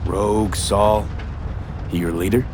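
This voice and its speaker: A man's voice: fairly low pitch, fairly even intonation, measured pace, background noise. An actor playing a streetwise male mercenary, terse and dry with plenty of slang, in naturalistic video game dialogue. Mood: probing. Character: laconic, streetwise male mercenary, coolly probing.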